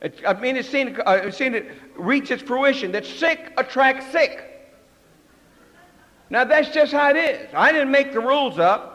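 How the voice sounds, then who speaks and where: A man's voice: extremely high pitch, animated, measured pace, a bit bright, big-room sound, highs cut off. An older man telling his personal story at a recovery meeting, candid and joking, acting out the voices of others.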